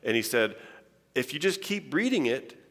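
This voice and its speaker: A man of ordinary pitch gives a relaxed, humorous science talk with no particular accent, often stumbling and restarting mid-sentence. His voice rings out in a large church hall with a long reverb trailing behind it. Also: moderate pace, vocal fry. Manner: chatty and joking, with frequent restarts. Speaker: scientist giving a conference talk. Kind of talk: informal conference lecture.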